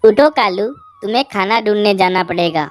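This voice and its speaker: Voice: medium pitch, normal speed, a little hiss or hum, lightly acted. A performer voicing a firm, commanding mother cow in a cartoon fable. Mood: firm, insistent. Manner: firm, instructing. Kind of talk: cartoon animal fable dialogue.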